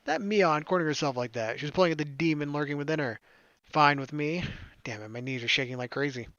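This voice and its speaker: A man's voice: fairly high pitch, fast talker, fairly bright tone, dry recording, dull audio. A man reading visual novel narration aloud and adding casual commentary as a gaming streamer. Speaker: let's play streamer.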